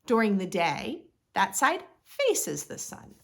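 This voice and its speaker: A woman reading a picture book aloud to young children in an expressive, instructive way, as a read-aloud host. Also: medium pitch, takes it slowly, vocal fry. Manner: expressive, instructive, asks listeners questions.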